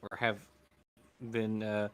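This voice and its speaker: A man's voice: fairly low pitch, monotone, slow, smooth. Laid-back Southern man co-hosting. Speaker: podcast co-host. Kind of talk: casual chat.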